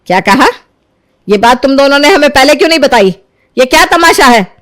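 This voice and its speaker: A woman's voice: sits high, lively intonation, brisk, a bit bright. Woman acting as middle-aged mother-in-law, strict, exacting, interrogating manner, cartoon story dialogue. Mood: shocked, scolding.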